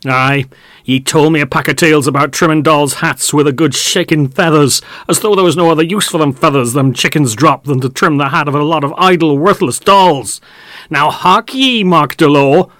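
A man voicing an angry, grasping middle-aged male farmer who shouts and scolds in a broad country dialect. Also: on the high side, moderate pace, no room sound, clean studio sound, pitched above the performer's own voice, lightly acted. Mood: bitter.

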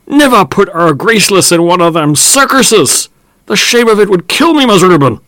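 Male reader as old farmhand: high, loud, pleading, broad dialect, very dry close sound. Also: expressive, normal speed, no echo. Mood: aggrieved.

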